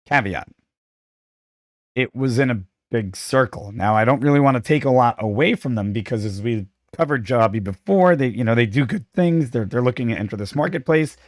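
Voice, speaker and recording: A man's voice: mid-range voice, expressive, normal speed, no echo, clean studio sound. Chatty man losing his voice. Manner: opinionated, chatty, self-deprecating. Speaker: podcast co-host. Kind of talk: casual chat.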